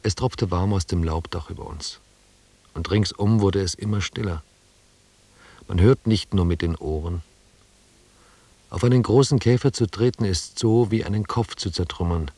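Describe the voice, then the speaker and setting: An actor playing a reflective expedition member, narrating in a literary, descriptive style for an audio drama. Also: male voice, sits low, normal speed, long pauses, mellow, breathy edge, dry recording. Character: reflective male expedition member recalling the jungle.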